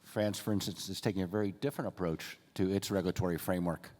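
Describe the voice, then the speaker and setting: A man's voice: deep voice, fairly even intonation, quick pace, darkish tone. A man moderating a conference panel, introducing speakers and putting questions to them with upbeat, scripted lines. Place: large conference hall. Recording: conference hall reverb.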